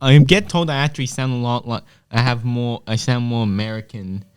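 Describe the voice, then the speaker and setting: A man's voice: mid-range voice, fairly even intonation, brisk, clean tone. A young man co-hosting a radio chat, hesitant and often restarting sentences. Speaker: radio co-host.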